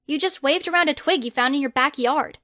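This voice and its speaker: A woman's voice: high-pitched, fairly quick, bright, forceful tone, no echo. A woman voicing a sarcastic, exasperated schoolgirl who gives dry, incredulous retorts.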